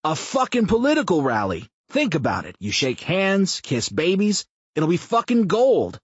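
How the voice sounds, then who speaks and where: A loud, brash joker who swears freely and needles everyone, as an audiobook narrator puts him on. He sits higher than the narrator's own voice and pushes hard. The sound is husky, dull and dry. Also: huge pitch swings, moderate pace, no echo, exaggerated voice. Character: crude loud brash man, foul-mouthed joker.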